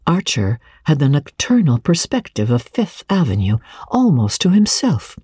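A woman narrating classic literature as a dramatic reading, with measured, expressive and deliberate phrasing. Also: low-pitched, slightly rough, dry recording, clean studio sound. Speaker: audiobook narrator.

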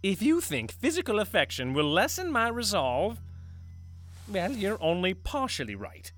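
A woman's voice: mid-range voice, very animated, moderate pace, lightly acted. Woman acting a theatrical mad scientist husband, wildly swooping. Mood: tender, resigned. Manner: grandiose, melodramatic delivery. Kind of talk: audio drama acting.

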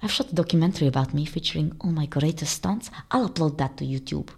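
A woman's voice: low voice, fast talker, vocal fry, a little mellow, husky, exaggerated voice. A woman playing a vain, theatrical Russian escapologist in an audio drama, grandiose and full of herself. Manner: grandiose, self-admiring.

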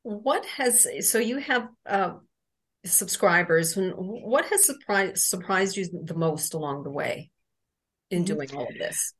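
A woman co-hosting an interview podcast, asking questions haltingly and restarting them. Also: mid-range voice, fairly bright tone. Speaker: podcast co-host.

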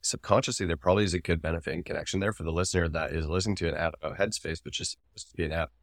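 Deep voiced guy co-hosting a podcast, talking through his take, dry recording. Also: fairly even intonation, rapid-fire, dry recording. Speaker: podcast co-host. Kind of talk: casual analytical discussion.

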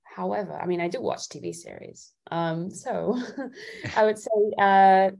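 A woman's voice: mid-range voice, wildly swooping pitch, a little slow, creaky, darkish tone. A woman from Italy, a guest singer-songwriter chatting casually in a giggly, thoughtful way. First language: Italian. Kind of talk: casual chat.

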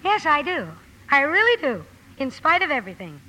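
A woman's voice: high voice, sing-song, normal speed, bright, forceful tone, heavily acted. An actress playing a blunt, impulsive young woman in a rambling, self-correcting way, in 1950 radio drama style. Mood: frank, earnest. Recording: noisy vintage 1950 radio broadcast.

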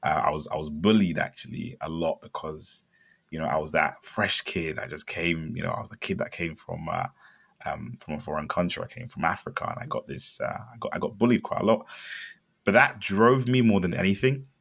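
Young man from zambia, very low voice, gravelly, founder chatting with lots of fillers. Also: a little fast. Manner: chatty, many fillers, animated when excited. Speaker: startup founder guest. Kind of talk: casual interview storytelling.

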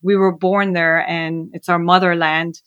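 A woman's voice: on the low side, level tone, unhurried, fairly bright tone, dry recording, muffled recording. A woman born in Iran and raised in Canada, a guest telling her story in an earnest, hesitant way with many fillers. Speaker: guest, skincare founder. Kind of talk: casual interview conversation.

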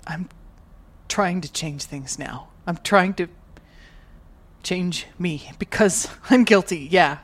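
A woman acting in an audio drama as a guilt-ridden, determined, tech-savvy moonbase worker, speaking in a halting, earnest way. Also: on the low side. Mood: guilty, confessional.

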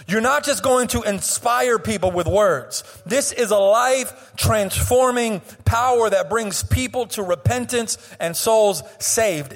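Young male pastor, high voice, slightly hoarse, passionate half-sung preaching, long church reverb. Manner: impassioned preaching with sung, drawn-out phrases and frequent restarts. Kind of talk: sermon. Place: church sanctuary.

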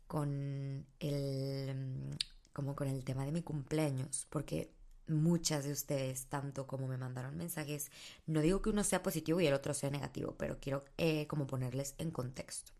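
Low, gravelly young woman. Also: fairly even intonation, moderate pace, a little mellow. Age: 20s. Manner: candid, thinking aloud with fillers. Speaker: podcast host. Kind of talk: casual personal monologue.

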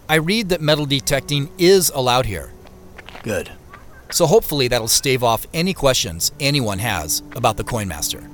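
A man's voice: medium pitch, a bit bright, a little hiss or hum. An actor playing a wry, beleaguered office man on the run, narrating his own audio drama in the first person with understated, muttered asides. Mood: muttered, dry. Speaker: actor narrating as main character.

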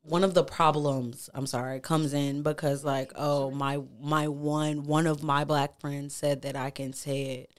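A woman's voice: deep voice, little pitch movement, unhurried, few pauses. A young woman in her twenties makes her case on a podcast. She is blunt and heated, swears freely, and keeps pressing her point over interruptions. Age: 20s. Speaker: podcast host. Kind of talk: casual podcast debate.

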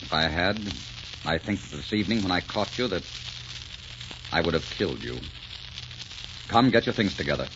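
A man's voice: deep voice, lively intonation, moderate pace, pauses often. A man acting a proud, bitter, passionate desert sheik in a 1940s radio melodrama, with a commanding, theatrical manner. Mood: brooding. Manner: brooding, clipped, commanding, theatrical. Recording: dull, very noisy 1940s radio recording.

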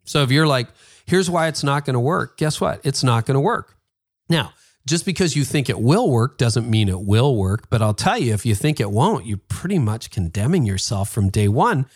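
A man's voice: mid-range voice, moderate pace. A man in his fifties with a Toronto Canadian accent, talking emphatically in a casual interview and sarcastically mimicking other people's excuses. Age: 50s. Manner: emphatic, animated, mock-quoting excuses with sarcasm. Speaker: podcast host being interviewed.

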